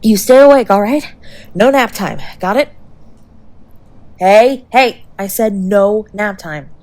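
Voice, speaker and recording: A woman playing a tough, sharp-tongued young woman who is secretly tender, in an intimate roleplay audio drama spoken to the listener. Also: medium pitch, slow pace, lightly acted. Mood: bossy, worried. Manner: brusque, bossy and teasing with urgent pleading.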